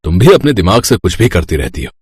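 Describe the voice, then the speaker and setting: A man's voice: low voice, very animated, quick pace, breathy edge, no echo, heavily acted. A man playing an irritable, tight-fisted middle-aged shopkeeper husband in a family story, curt and scolding.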